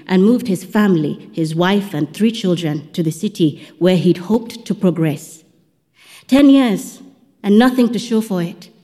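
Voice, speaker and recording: A woman's voice: mid-range voice, mellow, big-room sound. A woman reading a first-person literary story as a scripted narration. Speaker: audiobook narrator.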